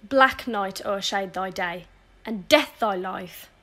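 A woman's voice: medium pitch, slow pace, pushed and bright. A young woman playing a bitter, vengeful noble widow in a verse audio drama, speaking with scorn. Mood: bitter cursing. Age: young adult. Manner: scornful, cursing. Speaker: student actor.

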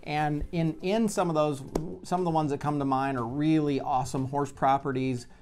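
A man in his forties speaking as a real estate video blog host, explaining in a measured, conversational way with pauses mid-phrase, recorded on a lapel mic in an office. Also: on the high side, fairly even intonation, darkish tone, slight background noise. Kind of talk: explanatory talk to camera. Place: bright office by large windows, indoors.

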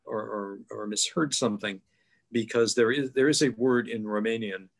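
Older male panelist, lowish voice, patient explainer, halting with fillers, headset mic on a call. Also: fairly even intonation, vocal fry. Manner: thoughtful, explanatory, many filler pauses. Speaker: guest author. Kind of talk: online panel discussion. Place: home room, video call.

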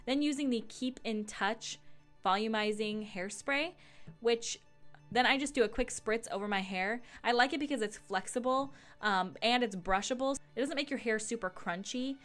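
A woman's voice: medium pitch, a bit bright. A young woman, giving a casual, laid-back tutorial voice-over as a beauty vlogger. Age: young adult. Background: background music.

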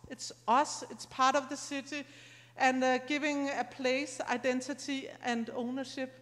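A middle-aged woman speaks in a high-pitched voice, explaining things conversationally and somewhat haltingly. She sounds like a lecturer at an academic event, on a handheld mic in a hall with long reverb. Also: little pitch movement, fairly bright tone. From Scandinavia. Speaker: university lecturer. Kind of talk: informal academic conference talk. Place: large lecture room, indoors. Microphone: handheld microphone.

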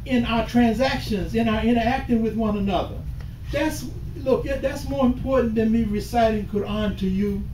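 An older man giving a sermon in a small mosque, slow and deliberate, rising to emphatic calls. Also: very high voice, dark tone. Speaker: imam. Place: small mosque hall with bookshelves, indoors. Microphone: far camera at the back of the room. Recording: echoey room from far off with hiss.

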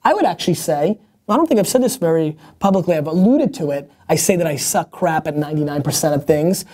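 A man's voice: fairly high pitch, animated, mellow. A man in his thirties hosting a casual advice show, answering viewer questions bluntly and emphatically from a seated spot in an open-plan office. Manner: emphatic, fast, blunt, gestures a lot.

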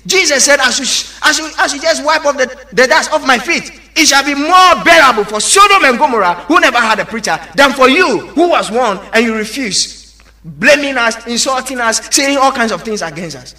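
A man's voice: extremely high pitch, expressive, moderate pace, sharp tone. A man preaching an evangelical sermon, exhorting emphatically and putting rhetorical questions to his listeners.

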